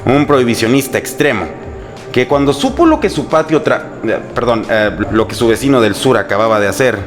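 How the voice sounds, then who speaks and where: A man's voice: medium pitch, expressive, a little fast. A man with a Mexican accent narrates history from a script in a humorous, sarcastic and colloquial storytelling style. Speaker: host and narrator. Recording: music bed under the voice. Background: background music.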